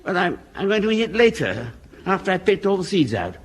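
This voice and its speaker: An actor playing a fussy, mild, frail elderly soldier in a broadcast sitcom. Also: male voice, high-pitched, big pitch swings, brisk. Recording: faintly noisy old radio comedy recording.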